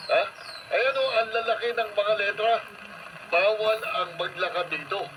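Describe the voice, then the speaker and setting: An actor playing an irritated, bossy traffic enforcer, scolding indignantly in a radio drama. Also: male voice, high-pitched, normal speed, natural voice. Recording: noisy radio drama with clear background noise.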